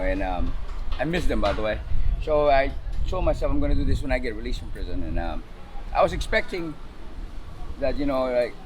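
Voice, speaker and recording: A middle-aged man from the Philippines, talking loosely with lots of fillers as he is interviewed outdoors in a city. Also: mid-range voice. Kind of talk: casual street interview. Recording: outdoor street recording with traffic. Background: traffic noise.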